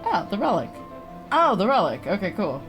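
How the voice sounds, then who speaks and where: Girl streamer chatting over a game, blunt n sarcastic, very animated, bit of music behind. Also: mid-range voice, moderate pace. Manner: blunt, sarcastic, swearing, giggly. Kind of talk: casual game commentary.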